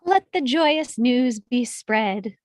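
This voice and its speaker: A woman playing a gentle, regal good witch in a read-aloud musical film script, with measured storybook sweetness. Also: on the high side, expressive, no echo, clean studio sound. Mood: proclaiming. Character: gentle, regal good witch coaxing timid little folk.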